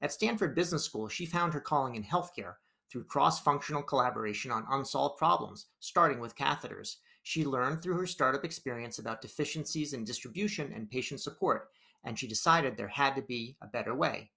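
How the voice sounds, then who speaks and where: A man with a bright, forceful voice hosts a business podcast. He reads his introduction smoothly, then asks his questions loosely, with small restarts and fillers. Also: medium pitch, lively intonation, fairly quick. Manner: conversational, with fillers and restarts when asking. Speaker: podcast host.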